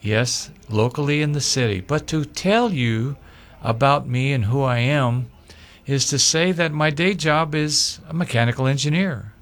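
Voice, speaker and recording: Older male candidate, slow deliberate interview storyteller, mid-pitched voice. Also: fairly bright tone. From Pennsylvania, USA. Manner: slow, measured storytelling with filler pauses. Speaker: local candidate. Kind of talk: interview answers.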